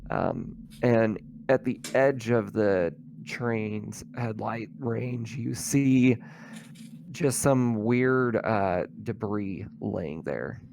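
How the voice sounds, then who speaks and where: Slow, low-voiced male game master. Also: creaky, darkish tone, slight background noise. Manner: halting, improvised scene-setting. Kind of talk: narrating a tabletop roleplaying game.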